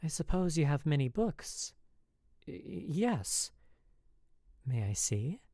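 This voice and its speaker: A gentle, melancholy young married woman, voiced by a man, speaking softly and hesitantly. Her voice rises a little above his own and moves in lilting curves. It is slow and close, with no room sound at all. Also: on the high side, sing-song, no echo, voice pushed up, heavily acted. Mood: shy. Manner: soft and hesitant.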